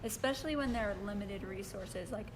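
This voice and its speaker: A woman's voice: medium pitch, fairly quick. Young woman hosting a live stream, chatty and upbeat, noisy background. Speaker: host interviewer. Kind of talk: casual live-stream interview.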